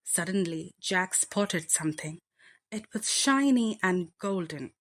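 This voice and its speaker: A woman reading a children's bedtime story aloud with deliberate, expressive phrasing. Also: on the low side.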